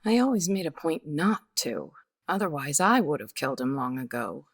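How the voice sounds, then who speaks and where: A woman playing a weary, guarded, bittersweet widow in the style of a 1950s radio detective drama, speaking in a measured, hesitant way. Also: deep voice, sing-song, breathy edge, exaggerated voice. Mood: jaded, matter-of-fact.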